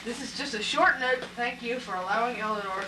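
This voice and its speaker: A woman's voice: a little high, quick pace, natural voice. A woman playing a strict, matter-of-fact father in an amateur puppet show. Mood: grateful. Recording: echoey, distant, noisy live recording.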